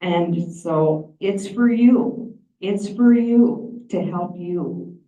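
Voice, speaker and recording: A woman's voice: on the low side, drawn-out words. A woman giving a plain-spoken, explanatory presentation at a meeting. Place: large meeting room. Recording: echoey room, distant mic, dull sound.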